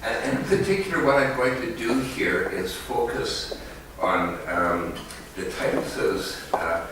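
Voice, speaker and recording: A man's voice: medium pitch, expressive, slow, noisy background. A man giving an academic conference talk as an economist, hesitant, with many fillers and restarts, recorded in an echoey hall from a distant mic.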